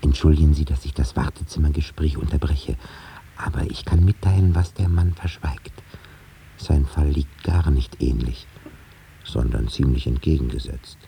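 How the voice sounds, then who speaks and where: Dry male busybody, extremely low, muted dark tone, deadpan, formal, old radio play. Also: normal speed, vocal fry. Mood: dry, tattling. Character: dry, meddlesome man in a waiting room. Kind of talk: 1950s German radio play acting. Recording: faintly hissy 1950s radio recording.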